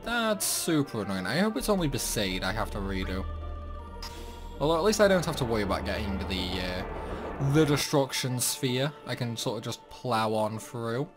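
Male gaming host, animated mid-range voice, chatty and playful, game music underneath. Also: medium pitch, normal speed. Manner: chatty, playfully talks to the game's characters. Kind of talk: casual game playthrough commentary. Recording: music behind the voice.

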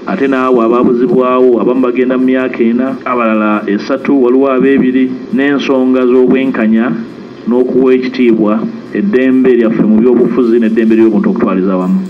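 Ugandan male politician, quick formal statement, dull broadcast clip. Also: medium pitch, a little hiss or hum. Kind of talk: press statement.

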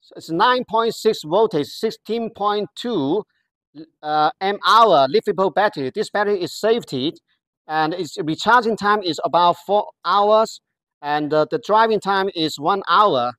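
Halting voice explaining a product step by step. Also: medium pitch, fairly bright tone, no room sound. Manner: halting, careful explanatory delivery in non-native English. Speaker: product demonstrator. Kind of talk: product walkthrough.

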